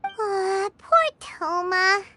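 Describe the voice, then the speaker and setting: Super squeaky little fairy kid for a game, cute and mouthy, pitch bouncing all over. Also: female voice, expressive, very slow, sharp tone, no room sound, soft background music, heavily acted. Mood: pitying. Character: tiny childlike fairy companion, cute and outspoken. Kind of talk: video game voice acting.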